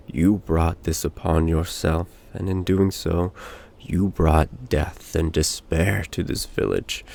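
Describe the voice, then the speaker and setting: A stern, accusing village leader acted by a male reader speaks with solemn command. His words come slowly and deliberately in a low male voice, condemning like the judge of a dark storybook tale. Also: vocal fry, full character voice.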